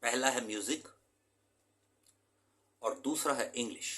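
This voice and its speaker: A man's voice: medium pitch, unhurried, sharp tone. A man from Pakistan, an English teacher, giving an earnest, emphatic lecture to his students and mixing English terms into his talk.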